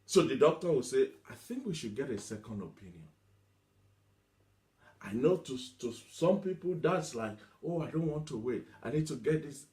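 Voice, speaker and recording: A man's voice: mid-range voice, animated, moderate pace, darkish tone. A man preaching a motivational teaching sermon, emphatic, repeating himself and asking rhetorical questions. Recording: echoey room.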